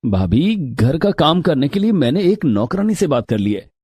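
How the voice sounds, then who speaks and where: An actor playing a stubborn, mildly exasperated younger brother-in-law in a moral story, insistent in manner. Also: male voice, fairly high pitch, sing-song, normal speed, dark tone, husky, dry recording. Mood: exasperated, placating. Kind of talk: Hindi moral story character acting.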